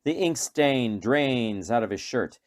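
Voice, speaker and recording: A man's voice: mid-range voice, animated, slow pace. A man reading scripted narration for a radio play in a dramatic storytelling manner.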